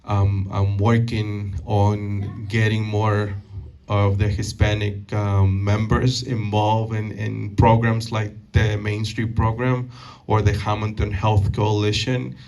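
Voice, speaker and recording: A man in his thirties from Peru speaks at a community event in a low, flat voice. He halts and restarts often, leaning on fillers as he answers questions. He is picked up from a distance in a meeting hall. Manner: halting, many fillers and restarts. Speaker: guest speaker.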